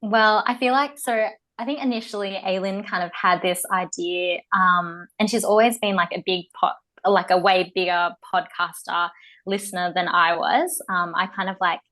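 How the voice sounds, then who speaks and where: A woman's voice: on the low side, fairly even intonation, normal speed, fairly bright tone. A woman in her twenties with an Australian accent, a podcast guest chatting casually with lots of "um" and "like" and easy giggles. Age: 20s. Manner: chatty, many fillers, giggly. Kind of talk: casual chat.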